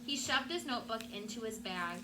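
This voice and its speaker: A woman teacher doing an expressive storybook read-aloud for her class. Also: on the high side, brisk. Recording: clear background room noise.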